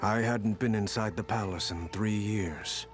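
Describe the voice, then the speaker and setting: Man playing a low-voiced, wry samurai warrior. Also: a little slow, over loud music, lightly acted. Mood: grave, reflective. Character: world-weary male samurai warrior recounting his campaign. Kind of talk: dramatic video game narration and dialogue.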